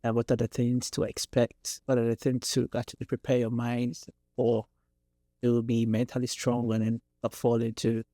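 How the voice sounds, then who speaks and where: A man's voice: mid-range voice, monotone, normal speed, dark tone, no echo, studio quality. A man hosting a podcast, asking questions and searching for the right words. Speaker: podcast host. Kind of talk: interviewing. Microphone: studio podcast mic.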